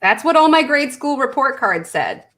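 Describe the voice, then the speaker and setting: A loud, bubbly woman colors along on a live stream from home. She chats freely, laughs a lot, and pokes fun at her own voice. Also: high-pitched, sing-song, normal speed. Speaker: guest co-host on a coloring stream. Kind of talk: casual live-stream chat.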